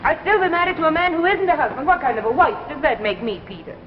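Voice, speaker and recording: Neglected 1950s radio-drama wife: high, very animated, plaintive, reproachful, melodramatic. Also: female voice, quick pace, some chatter behind. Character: lonely, resentful wife of an obsessive scientist. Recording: muffled 1950s radio recording, reverberant, faint music.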